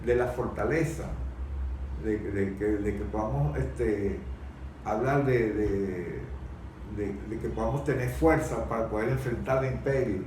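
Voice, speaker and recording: A Venezuelan man in an unscripted political discussion, speaking haltingly, often repeating words and searching for his phrases. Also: on the low side, darkish tone. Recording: very echoey room, distant mic, faint noise.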